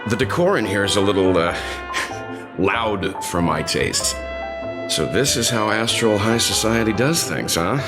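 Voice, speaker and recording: An actor voicing a calm, wry older gentleman in video game dialogue, unhurried and dry. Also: on the low side. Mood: wry, relaxed. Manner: unhurried and dry, with light chuckles. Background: game music.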